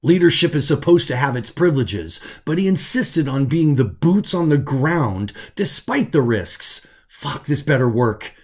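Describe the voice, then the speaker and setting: A man's voice: mid-range voice, big pitch swings, unhurried, hardly any pauses, a little mellow, slightly rough, full character voice. Stern male cyborg officer played by a man, impatient, worried, commanding, science fiction audiobook dialogue. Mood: frustrated worry.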